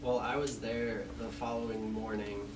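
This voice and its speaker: A man on a student internship panel, telling an anecdote in a relaxed way and trailing off quietly. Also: lowish voice, normal speed. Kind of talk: storytelling on a panel. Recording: distant mic with steady hum.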